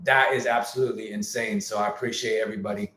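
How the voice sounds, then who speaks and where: A man's voice: on the low side, steady tone, fast, bright, forceful tone, echoey room. A man who produces music, talking casually on a live stream, slangy and candid, saying he is losing his voice. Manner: candid, slangy, repeats himself. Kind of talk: casual livestream rant.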